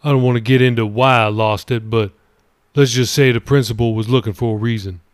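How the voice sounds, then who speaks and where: A man's voice: medium pitch, fairly even intonation, brisk, no echo, studio quality, close to an everyday voice. A man voicing a remorseful young husband in a storybook reading, plain and earnest. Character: young husband, former police officer, remorseful.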